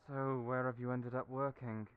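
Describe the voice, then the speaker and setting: A man's voice: medium pitch, little pitch movement, normal speed, clear, resonant tone, narrow, tinny audio, close to an everyday voice. A man playing a fussy, doting male hairdresser who fusses over people and calls them dearie. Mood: nosy. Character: chatty flamboyant male hairdresser friend. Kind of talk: audio roleplay acting.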